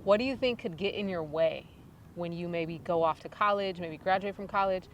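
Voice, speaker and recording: A woman's voice: fairly low pitch, normal speed, highs cut off, a little hiss or hum. A woman interviewing a student, asking open questions and affirming and rephrasing her answers. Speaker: interviewer, coach.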